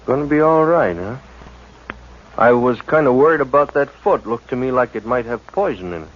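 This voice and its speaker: A man's voice: medium pitch, lively intonation, moderate pace, natural voice. A man playing a shifty, defensive homesteader with an evasive way of talking in a 1950s radio drama. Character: shifty young male homesteader, defensive liar. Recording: dull old radio recording with hiss.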